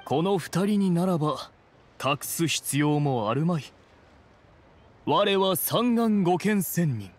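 A haughty immortal from an anime game. His speech is archaic and imperious, pitched a little high with animated swings. He sounds stern and pompous. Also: slow. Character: haughty, stern immortal adeptus in young man's guise.

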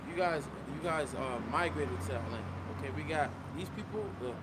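A man's voice: fairly high pitch, fairly quick. A man on a city street who reads verses aloud emphatically and quizzes the people listening. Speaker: street preacher reading scripture. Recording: street traffic noise.

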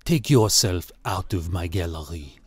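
A man's voice: deep voice, lively intonation, unhurried, a little mellow, slightly rough, no room sound, voice pushed down, full character voice. A man voicing a plump, haughty art gallery owner who speaks with cold, imperious politeness. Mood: cold, dismissive. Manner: clipped, coldly polite, imperious.

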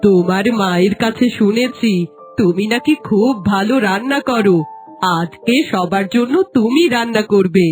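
A woman's voice: mid-range voice, moderate pace, darkish tone, soft background music, lightly acted. A woman voicing a demanding older queen mother-in-law in a fairy-tale cartoon, imperious and exacting.

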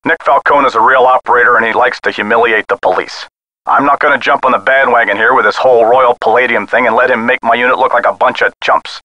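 Game role: skeptical, weary American cop on the phone, mid-pitched, hard-edged, folksy and condescending. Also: male voice, steady tone, fast, pushed and bright, no echo, highs cut off. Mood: cynical. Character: dismissive, weary male police sergeant, skeptical and patronizing. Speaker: police missing-persons officer. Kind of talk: video game voice acting.

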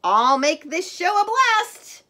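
A woman's voice: very high-pitched, sing-song, slow pace, pushed and bright, pitched above the performer's own voice, heavily acted. A woman voicing a pushy, boastful, eager trapezoid in a children's storybook, insistent and showy.

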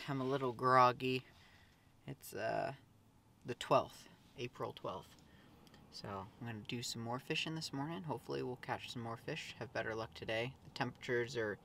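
Young adult American male, casual vlogger chat, mid-pitched voice, clear background noise on the recording. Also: fairly bright tone. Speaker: fishing vlogger. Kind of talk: casual vlog chat to camera. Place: outdoors by a river, sometimes in a car.

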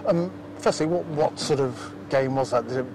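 Male club interviewer, mid-pitched, friendly halting questions, noisy outdoor setting. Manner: friendly, hesitant question phrasing. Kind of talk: post-match interview questions. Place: outdoors at a football ground at night. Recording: outdoor ambience with clear background noise.

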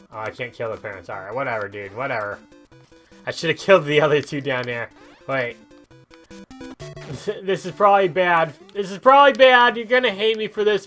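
A man's voice: fairly high pitch, pushed and bright. A man hosting a casual let's play, joking and rambling as he thinks aloud. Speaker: host of gaming videos. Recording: video game music behind the voice. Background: video game music.

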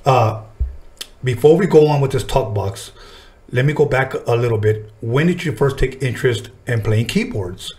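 A man's voice: medium pitch, moderate pace, a little mellow. A middle-aged man hosting a podcast, talking casually with slang and profanity in an encouraging way. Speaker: podcast host. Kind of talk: casual interview chat. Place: small podcast studio, indoors. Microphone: desk microphone. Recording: roomy studio echo.

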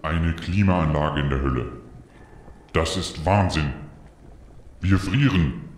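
Vengeful devil, extremely deep, grandiose, menacing, echoing radio-play villain. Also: male voice, takes it slowly. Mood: humiliated, indignant. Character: Satan, gloating, vengeful lord of hell. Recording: echoey, faintly noisy radio-play scene.

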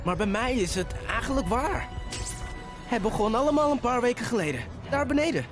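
A man in an amateur cartoon fandub voicing an eager, excitable teenage nerd hero who narrates with nerdy enthusiasm. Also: very high-pitched, over music. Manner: excitable, fast-talking nerd.

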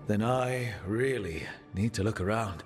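A man's voice: on the low side, measured pace, natural voice. A man voicing a blunt, matter-of-fact young hero who leads his team, in game dialogue. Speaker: player character. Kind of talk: video game voice acting. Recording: game dialogue over clear music.

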